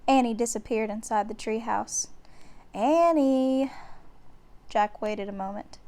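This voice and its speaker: A woman reading a children's bedtime story aloud in a deliberate, expressive way. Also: mid-range voice. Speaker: storybook reader.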